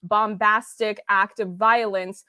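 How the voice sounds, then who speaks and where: A woman's voice: mid-range voice, flat delivery, slow, bright, forceful tone, clear, resonant tone, dry recording. A woman hosting a casual, analytical review discussion, speaking in earnest academic terms and acting out thoughts as she goes. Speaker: host of a series review discussion.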